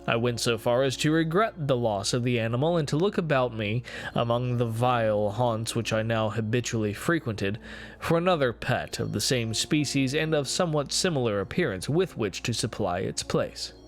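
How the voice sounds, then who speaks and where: A man's voice: medium pitch, animated, close to an everyday voice. Man reading as morbid gothic confessor over music. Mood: brooding, confessional. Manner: formal, deliberate, theatrical phrasing. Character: guilt-ridden, morbid male narrator confessing his cruelty. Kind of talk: dramatic reading of a gothic horror story. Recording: music playing behind the voice.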